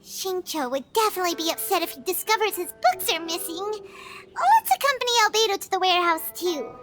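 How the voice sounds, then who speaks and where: A woman voicing a tiny, excitable and blunt floating girl companion in a video game, with music behind her. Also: very high-pitched, a little fast. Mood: chatty, concerned. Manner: refers to self in third person, blunt, reactive. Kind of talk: video game cutscene acting.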